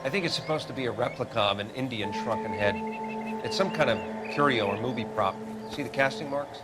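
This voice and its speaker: A man's voice: medium pitch, brisk, loud music behind the voice, close to an everyday voice. A man playing a dry, clinical coroner. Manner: matter-of-fact, clinical. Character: methodical 1940s coroner man, dry and matter-of-fact.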